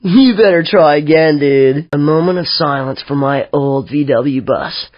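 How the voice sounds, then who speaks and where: A spacey sixties hippie played by a male actor drawls through video game quips in a mellow, dazed way. He sounds like a peace-loving slacker. The audio is dry, close and a bit dull. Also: on the high side, unhurried, no room sound, natural voice.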